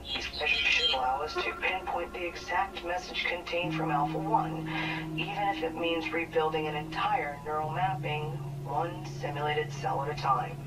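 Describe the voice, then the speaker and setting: A composed female project official played by an actress delivers a formal briefing in a sci-fi game. Her voice is very low and authoritative. It sounds distant, under loud music. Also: steady tone, normal speed, lightly acted.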